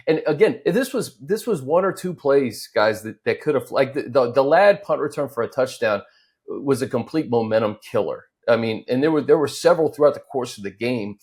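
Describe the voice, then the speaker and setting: A man with a medium-pitched, somewhat husky voice. He discusses sports casually as a host, slightly halting and doubling back on his words. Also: lively intonation, darkish tone. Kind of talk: casual sports analysis chat.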